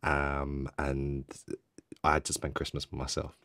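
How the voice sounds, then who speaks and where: A man's voice: very deep voice, monotone, measured pace, fairly bright tone, smooth, no echo. A man in his thirties with a British accent, a guest on a podcast, telling his story in an earnest, reflective way over a video call. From United Kingdom. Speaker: guest trader. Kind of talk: interview storytelling. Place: home room. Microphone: boom-arm mic on a video call.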